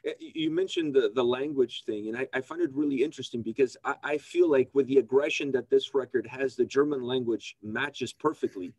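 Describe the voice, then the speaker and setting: A man's voice: mid-range voice, very animated, a little fast, few pauses, a little mellow, no room sound. A man interviewing a musician, asking long, enthusiastic, rambling questions. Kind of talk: casual interview.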